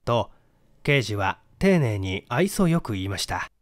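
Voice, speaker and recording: A man's voice: on the low side, sing-song, unhurried, no room sound, studio quality. A man reading a first-person mystery story as scripted narration, with careful, theatrical storytelling and acted dialogue. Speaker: audiobook reader.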